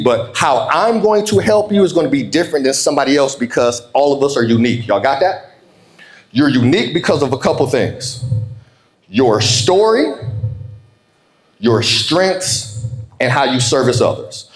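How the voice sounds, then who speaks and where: Animated American man speaking in an echoey room. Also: mid-range voice. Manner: emphatic, folksy, repeats key phrases slowly. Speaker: motivational keynote speaker. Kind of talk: motivational keynote speech with call-and-response. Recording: roomy, echoing live speech.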